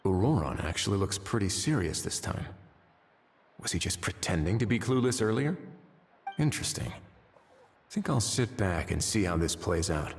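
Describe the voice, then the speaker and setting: An actor playing a composed, shrewd male official in a game, speaking in a measured, polite and pointed manner. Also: low-pitched, animated, faint music behind. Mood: watchful, musing. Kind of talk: game voice acting.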